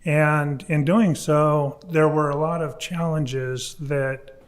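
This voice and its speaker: A man's voice: on the high side, fairly even intonation, slow. A man in his sixties from Oregon, a council candidate plainly recounting his background at a forum. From Oregon, USA.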